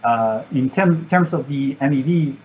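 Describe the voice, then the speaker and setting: A man from France with a French accent, an engineer answering student questions in a virtual talk, pausing often with fillers and restarts. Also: mid-range voice, a little hiss or hum. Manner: halting, many fillers and restarts. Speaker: satellite engineer. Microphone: video call. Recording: tinny, phone-like video call audio.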